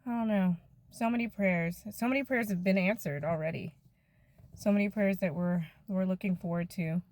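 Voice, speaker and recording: A woman, giving a gentle, chatty devotional talk to her viewers. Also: mid-range voice, moderate pace. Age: 50s.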